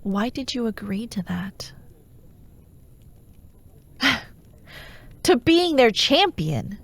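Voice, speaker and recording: A woman playing a menacing, persuasive fantasy warlord who haunts dreams, grandiose and coaxing, in a breathy ASMR roleplay voice that often drops toward a whisper. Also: on the high side, big pitch swings, heavily acted. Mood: coolly taunting. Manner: slow, grandiose, coaxing, mock-reasonable.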